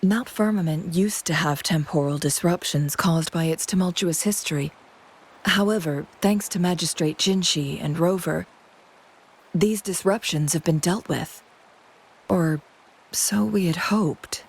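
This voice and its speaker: Poised, low-voiced, breathy noblewoman. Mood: composed, grave. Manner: measured, formal, poised. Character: composed, knowledgeable, elegant noble lady advisor. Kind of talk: video game voice acting.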